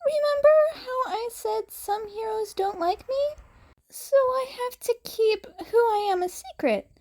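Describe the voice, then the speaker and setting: Woman voicing a gentle teenage boy, high-pitched. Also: expressive, unhurried. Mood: soft reassurance. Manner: soft-spoken, reassuring. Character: gentle teenage boy vigilante, protective, reassuring a child.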